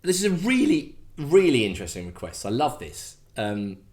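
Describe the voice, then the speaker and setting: A man's voice: mid-range voice, big pitch swings, moderate pace, a little mellow. A middle-aged man from England hosting a casual request show, rambling and self-deprecating, full of fillers and restarts. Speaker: host. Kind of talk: casual chat.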